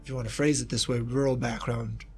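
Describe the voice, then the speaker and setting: A man's voice: medium pitch, flat delivery, fast, darkish tone, faint music behind. Thoughtful rambling man from Kentucky. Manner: thoughtful, rambling with asides and restarts. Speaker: guest researcher, historian of East Asia. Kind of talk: casual reflective interview talk.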